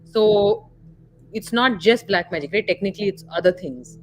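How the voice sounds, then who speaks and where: A woman's voice: medium pitch, lively intonation, normal speed, faint music behind. A woman with an Indian accent in a casual discussion, speaking as a panelist who clarifies and stresses her points. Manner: emphatic, corrective, clarifying. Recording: noisy live stream audio.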